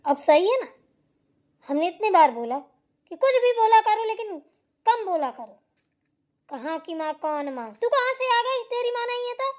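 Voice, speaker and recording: A woman's voice: very high-pitched, very animated, unhurried, pauses often, heavily acted. A woman voicing a bossy, scolding elder sister-in-law in a Hindi family drama cartoon, hectoring and preachy. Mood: furious scolding. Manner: hectoring, sharp-tongued, preachy.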